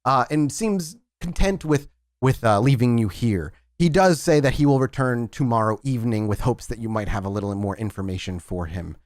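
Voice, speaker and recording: A man's voice: mid-range voice, moderate pace, a little mellow, no room sound, clean studio sound. A man narrating a tabletop roleplaying game as its game master.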